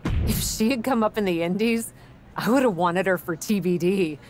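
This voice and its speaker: A woman's voice: mid-range voice, moderate pace, a little mellow, slight background noise, natural voice. An actress playing a confident, playful woman wrestler in a video game cutscene. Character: confident, punning female wrestling champion.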